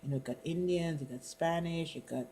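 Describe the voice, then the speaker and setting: A woman's voice: low voice, brisk, dark tone. A middle-aged woman from the Caribbean, chatting casually and leaning on "you know" fillers as she interviews her partner. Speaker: student interviewer. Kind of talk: casual conversation.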